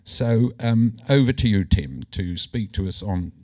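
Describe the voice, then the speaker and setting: Aussie host reading out a speaker bio, low mellow voice, steady. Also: male voice, a little hiss or hum. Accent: Australian. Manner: reads a biography, measured with small fillers. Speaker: event host introducing speaker. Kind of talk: formal speaker introduction. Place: lecture hall.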